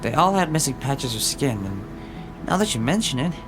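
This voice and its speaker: An actor playing a dry-witted, defiant junior detective in an audio roleplay drama, quick with wry retorts. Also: male voice, mid-range voice, very animated, natural voice. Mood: thoughtful. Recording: music behind the voice.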